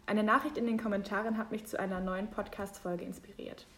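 A woman's voice: medium pitch, fairly even intonation, fairly quick, fairly bright tone. A woman hosting a podcast, friendly and reading her moderation from a script. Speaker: podcast host.

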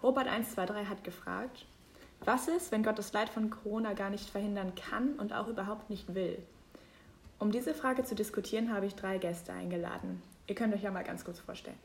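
A woman's voice: medium pitch, normal speed, gravelly, a little hiss or hum. A woman hosting a podcast, friendly and reading her moderation from a script. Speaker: podcast host.

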